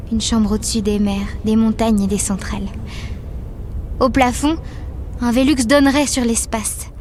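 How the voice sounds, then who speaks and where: Higher female voice, measured poetic narrator, played by an actress, literary audio drama, faint rumbling noise. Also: darkish tone, natural voice. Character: female narrator of a child's inner world.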